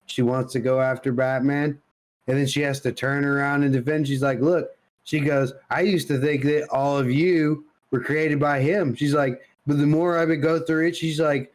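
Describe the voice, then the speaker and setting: A man's voice: fairly high pitch, fairly even intonation, normal speed, low-quality recording. A man chatting informally as a podcast co-host, animatedly retelling dialogue, with casual swearing. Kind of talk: casual pop-culture podcast chat.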